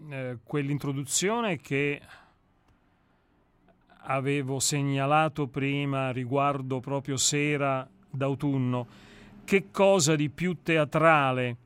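A man reading and commenting on literature as a co-host, with measured, theatrical and deliberate phrasing. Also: medium pitch, fairly bright tone, smooth. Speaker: co-host of a literary radio discussion.

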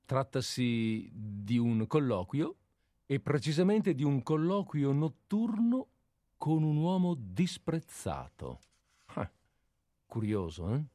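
A man co-hosting a radio show, with an average-pitched voice. He talks casually and playfully, hesitating and then slipping into wry mock formality. The sound is very dry, with no room to it. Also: sing-song, takes it slowly, dark tone, no echo.

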